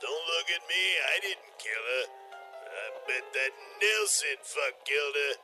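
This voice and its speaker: A man's voice: a little high, lively intonation, a little slow, sharp tone, soft background music, heavily acted. A man playing a crude, bullying vampire in a comic audio play, with a brash, sneering, streetwise delivery. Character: crude, bullying male vampire, foul-mouthed and scheming.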